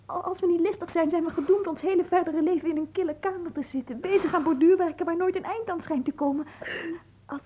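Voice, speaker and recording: Radio play young lady: high-pitched, cunning, coy and dramatic. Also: quick pace, lo-fi sound. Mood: conspiratorial. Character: scheming, theatrical young noblewoman, a daughter. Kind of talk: theatrical radio drama acting.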